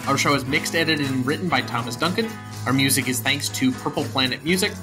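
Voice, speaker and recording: A young man hosting a podcast, talking about films in earnest, explanatory chat and reading out a brisk closing script. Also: medium pitch, over music. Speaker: podcast host.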